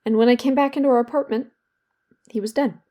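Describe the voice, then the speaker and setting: Woman acting young female therapy patient, highish mellow voice, guilty, bitter, self-loathing, confessional audio play. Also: steady tone, quick pace, close to an everyday voice. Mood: anguished, self-loathing. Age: young adult. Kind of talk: dramatic audio play monologue.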